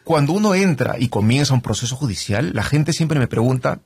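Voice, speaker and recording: A middle-aged man from Canada, a trial lawyer, explaining his work in a relaxed interview with many fillers and tag questions. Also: medium pitch, fairly quick, husky, dry recording, clean studio sound. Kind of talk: conversational interview.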